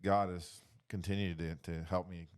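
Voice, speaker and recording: A man with a low, resonant voice leads a casual faith discussion. His intonation stays quite flat. He reflects as he goes, halting and padding phrases with "you know". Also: smooth.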